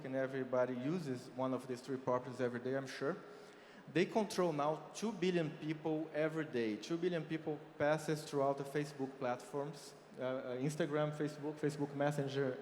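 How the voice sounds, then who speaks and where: A man's voice: fairly high pitch, slight background noise. A man from Brazil with a Brazilian Portuguese accent, giving a conference keynote and explaining figures step by step with many fillers. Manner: explanatory, walks through numbers with frequent fillers. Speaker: keynote speaker. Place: large conference hall. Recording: roomy hall reverb with faint ambience.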